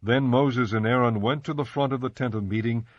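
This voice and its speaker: A man narrating a dramatized Bible audiobook in a measured, solemn storytelling style. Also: medium pitch, no echo, highs cut off. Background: faint music.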